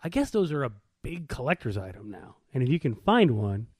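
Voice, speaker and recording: A man's voice: mid-range voice, very animated, a little fast, a little mellow, husky, no room sound, studio quality. A middle-aged man chatting casually and telling anecdotes with wry, irreverent humor.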